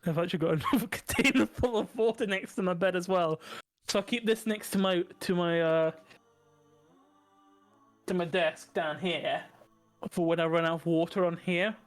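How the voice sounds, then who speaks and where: A man's voice: high-pitched, moderate pace, faint music behind. A young man co-hosting a podcast from his desk at home, joking crudely and laughing often. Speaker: podcast co-host. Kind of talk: casual banter.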